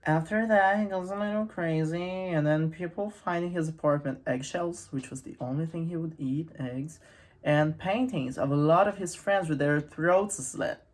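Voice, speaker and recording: A woman's voice: sits low, moderate pace, darkish tone. A woman hosting a casual, humorous literary commentary, with wry, irreverent asides in a conversational way. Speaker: host of a literary channel.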